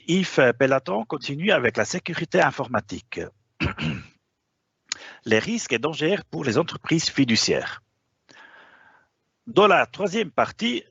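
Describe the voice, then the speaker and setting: A man presenting a professional webinar in a language that is not his first, stopping often on hesitations. His voice rises and falls a lot and carries a gravelly, slightly husky texture. The sound is dry and a bit dull, like a lo-fi online call. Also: fairly high pitch, a bit bright, dry recording. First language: not French. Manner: hesitant, frequent "euh" fillers.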